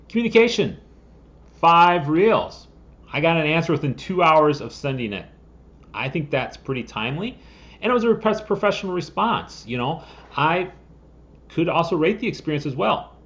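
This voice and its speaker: A man in his forties talks to camera as the host of a review video. His voice sits at an average pitch, and he explains things in a casual, conversational way. The sound is a little dull, like a webcam recording made at home. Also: a bit bright. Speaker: host of a screenwriting software review channel. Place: room at home, indoors. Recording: slightly dull webcam audio.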